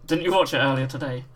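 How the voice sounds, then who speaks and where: A British man with a croaky voice hosting a livestream, chatting casually and reading out viewer messages while painting miniatures. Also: a little high. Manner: relaxed, reads out chat messages. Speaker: livestream host.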